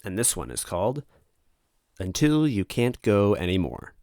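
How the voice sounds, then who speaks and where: A man with a fairly low voice talks casually as the host of his own series. He rambles, hesitates and breaks off his own sentences, in a close, dry recording. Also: sing-song, darkish tone, no echo. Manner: hesitant, halting, self-interrupting. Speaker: host of a fan fiction reading series. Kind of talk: casual rambling preamble to listeners.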